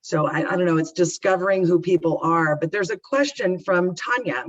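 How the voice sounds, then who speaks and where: A woman's voice: on the low side, moderate pace. A woman moderating a webinar on a video call, speaking emotionally with stumbling restarts. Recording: dull lo-fi call audio.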